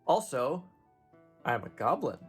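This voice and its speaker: A man playing a male goblin engineer in his own voice, slowly giving halting advice while recovering from a sore throat. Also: mid-range voice, wildly swooping pitch, husky, faint music behind, heavily acted. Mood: advising. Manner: slow, halting advice-giving with pauses and fillers. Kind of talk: improvised tabletop roleplay monologue.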